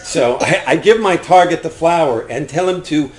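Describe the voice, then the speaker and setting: A man's voice: on the high side, moderate pace, close to an everyday voice. A man playing a boastful, merry husband in a stage comedy, exclaiming and joking, with laughter in his lines. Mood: giddy, merry. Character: boastful, merry husband claiming to be a secret hitman. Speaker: stage actor. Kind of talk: live one-act comedy play dialogue. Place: indoor theatre stage, workshop performance. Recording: echoey stage recording with noise.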